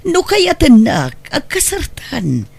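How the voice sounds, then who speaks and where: A woman's voice: high voice, wildly swooping pitch, takes it slowly, vocal fry, a little mellow, heavily acted. An actress with an Ilocano accent playing a wealthy, amorous female employer in a radio drama, speaking intimately and persuasively. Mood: seductive.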